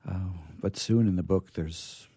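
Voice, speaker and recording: A man hosting a radio theatre show, chatting casually in a halting, rambling, hesitant way. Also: low-pitched, warm tone, slightly rough, no room sound.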